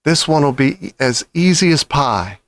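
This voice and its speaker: A man voicing a clever, cheerful, commanding father fox in a children's storybook, excited and pleased with himself. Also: mid-range voice, a little slow, no echo. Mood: pleased, brisk. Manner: excited, bossy, self-satisfied.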